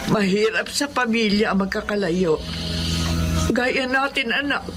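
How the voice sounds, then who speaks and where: A woman voicing an elderly mother in a radio drama, speaking slowly and tearfully as she pleads for forgiveness. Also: mid-range voice, lightly acted. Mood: remorseful. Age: older. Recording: background music.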